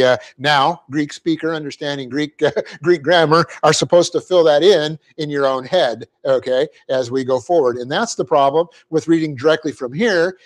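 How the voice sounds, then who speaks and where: A man teaching a Bible class, explaining Greek grammar step by step, spelling out words and clearing his throat often. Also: fairly high pitch. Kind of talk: verse-by-verse Bible class lecture.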